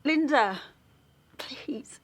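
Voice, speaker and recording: Earnest mum, protective, natural audio drama acting. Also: female voice, high-pitched, expressive, drawn-out words, a bit bright, exaggerated voice. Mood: anxious. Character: Alice, earnest, protective Essex mother.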